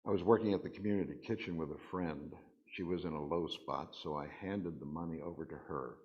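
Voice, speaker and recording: Very deep-voiced man reading stories aloud. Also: a little fast, muffled recording. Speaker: reader at a worship service.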